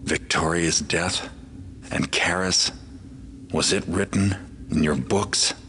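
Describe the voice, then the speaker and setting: An actor playing a cynical, guarded lone master thief in a video game cutscene. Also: male voice, sits low, steady tone, takes it slowly, sharp tone, slight background noise, full character voice. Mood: grave, demanding.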